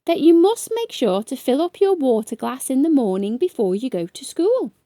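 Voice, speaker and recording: A woman voicing a kindly, reassuring father in a children's storybook, explaining things gently and patiently. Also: high voice, sing-song, moderate pace, no echo, studio quality, pitched above the performer's own voice. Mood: gentle, caring.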